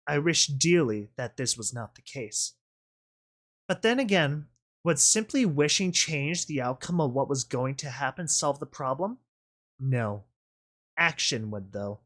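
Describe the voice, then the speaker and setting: A male narrator with a somewhat high-pitched voice. He reads a first-person story dramatically. His delivery is brooding and deliberate. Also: a bit bright.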